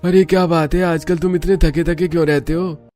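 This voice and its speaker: A man's voice: a little high, fairly even intonation, moderate pace, darkish tone, natural voice. A man voicing a practical, chatty hospital co-worker in a cartoon, matter-of-fact in manner. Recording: music under the voice.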